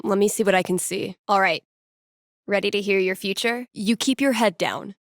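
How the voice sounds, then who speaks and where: A woman's voice: medium pitch, normal speed, dry recording, clean studio sound, natural voice. A woman playing a witty young small-town radio DJ in a video game, with an American accent and a playful, conversational delivery. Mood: storytelling, earnest. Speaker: radio host. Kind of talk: casual local radio announcements, video game voice acting.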